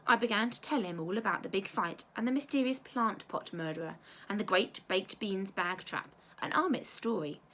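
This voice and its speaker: A woman teacher reading a children's storybook aloud with expressive narration. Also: medium pitch, moderate pace, few pauses, poor audio.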